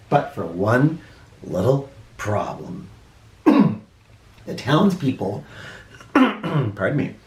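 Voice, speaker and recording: A man reads a children's story in an echoey room, his pitch swinging widely. He takes it slowly and leans on words for drama, like a teacher reading to first graders. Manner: expressive, deliberate, dramatic emphasis. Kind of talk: animated storybook read-aloud for children. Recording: roomy, echoey indoor sound.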